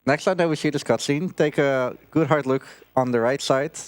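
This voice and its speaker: A man giving casual, rambling live commentary as a speedrunner, halting at times while he plays. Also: medium pitch, clean tone, dry recording, soft background music. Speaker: speedrunner commentating own run.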